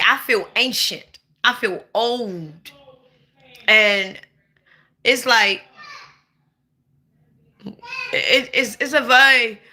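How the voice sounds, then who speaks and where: Bright-voiced playful woman in her forties. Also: a little high. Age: 40s. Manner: blunt, playful, swings to sudden loud outbursts. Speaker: livestream host. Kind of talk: casual livestream chat.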